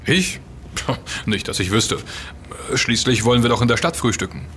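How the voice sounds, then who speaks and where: Casting: actor as young man for audio drama lead, middle-pitched, relaxed student type who muses inquisitively. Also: moderate pace, slight background noise, lightly acted. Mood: flustered, casual. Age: young adult. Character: young male student hacker, casual, curious leader. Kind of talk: German audio drama dialogue.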